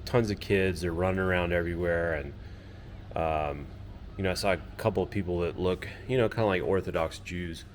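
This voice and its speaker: A man with a very low voice talks casually to a handheld camera on a street at dusk. He rambles, filling his sentences with "you know" and "um". Faint outdoor noise sits under his voice. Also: steady tone, brisk. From Florida. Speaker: travel vlogger. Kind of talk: casual reflective monologue to camera.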